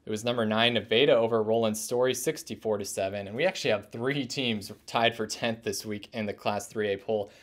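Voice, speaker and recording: A young adult man with a fairly low voice presents a sports recap. He reads results in sequence as a show host, in a newsy scripted delivery. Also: fairly even intonation, fairly quick, few pauses. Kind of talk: reading scores for a sports recap. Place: small indoor room, plain wall.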